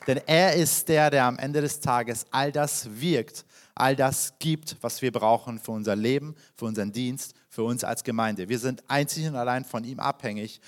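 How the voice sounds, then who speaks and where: Man in his thirties, guest pastor. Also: medium pitch. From Iran. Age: 30s. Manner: warm, chatty and personal, with frequent fillers and restarts. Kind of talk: sermon introduction to a congregation. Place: church hall.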